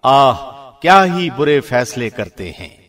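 A man giving a formal, measured and solemn scripted reading of a scripture translation, as a radio presenter would. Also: mid-range voice, big pitch swings, fairly bright tone.

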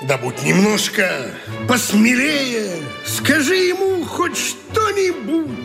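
An actor playing a fussy, folksy country landowner and father in a radio comedy, creaky, husky and insistent. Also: extremely high pitch, very animated, takes it slowly, over music, full character voice. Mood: nagging. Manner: fussy, repeating a pet phrase insistently. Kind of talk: Russian radio play of a vaudeville comedy.

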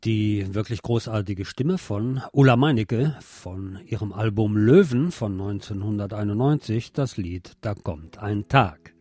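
A man's voice: lowish voice, a little slow, few pauses, a little mellow, faint music behind. A man hosting a radio programme, reading news and commentary with wry, opinionated asides. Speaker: radio host.

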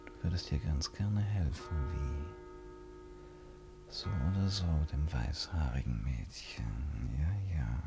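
A man's voice: very deep voice, slow pace. An actor playing a brooding, ironic, impulsive man who muses theatrically to himself in a radio play. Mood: wistful, intimate musing. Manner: musing, self-questioning, theatrical. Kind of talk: radio play acting, interior monologue. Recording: studio radio play with faint music.

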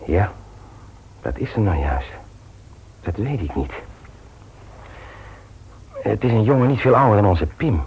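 A man's voice: fairly low pitch, very animated, unhurried, long pauses, phone-quality sound, a little hiss or hum. A male radio play actor playing a conscientious family man, speaking naturally, hesitantly and earnestly. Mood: troubled, earnest. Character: conscientious, troubled family man and office worker, jovial host. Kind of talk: naturalistic Dutch radio drama acting.

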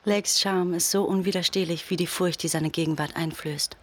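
A performer as a detached, deadpan narrator reading scene directions in a radio play. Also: mid-range voice, normal speed, lightly acted. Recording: music behind narration.

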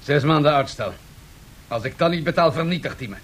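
A man's voice: on the high side, fairly quick, slightly rough. An actor playing a weary, grandiose and self-assured male business tycoon in a 1970s radio drama. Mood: defiant, composed. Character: weary, defiantly confident male match-industry tycoon. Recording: noisy vintage radio recording with hiss.

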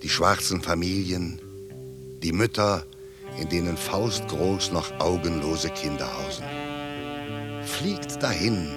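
An actor playing a wistful poet who delivers a lyrical, declamatory monologue in a radio drama. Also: male voice, deep voice, expressive, slow pace, pauses often, over loud music. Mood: musing. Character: wistful poet sending thoughts across the world.

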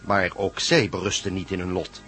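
A man's voice: low-pitched, little pitch movement, moderate pace. A man narrating a radio drama recap in a scripted style. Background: soft music.

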